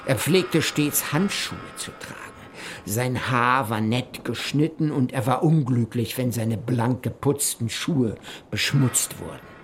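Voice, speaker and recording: A man giving measured, formal narration of a journal in a literary radio play. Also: medium pitch, a little hiss or hum. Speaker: narrator reading an explorer's journal.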